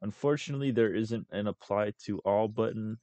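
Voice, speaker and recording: A young man hosting a casual screen-recorded software tutorial as a voice-over. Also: lowish voice, fairly quick, dry recording. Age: young adult. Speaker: tutorial host.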